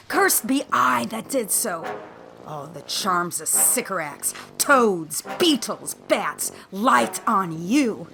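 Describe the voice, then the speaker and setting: An actress playing a bitter, defiant enslaved monster who curses emphatically in a Shakespearean audio drama. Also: female voice, a little high, bright, forceful tone, full character voice. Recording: noisy remote home recording.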